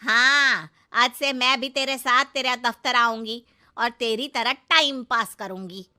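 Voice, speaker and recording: Older rustic mother-in-law for comic cartoon: very sharp, slow-drawling, scolding, cluelessly confident. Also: a little high, clear, resonant tone. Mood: brash, cheerful. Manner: scolding, literal-minded, folksy, drawn-out delivery. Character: brash, naive village mother-in-law, older woman. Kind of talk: comic cartoon story acting.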